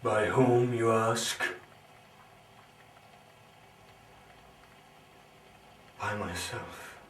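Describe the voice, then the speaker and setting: An actor playing an anguished, brooding scientist villain in a theatrical monologue, grandiose and melancholy, with halting dramatic pauses. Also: male voice, lowish voice. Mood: bitter, anguished. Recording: roomy, distant, slightly noisy recording.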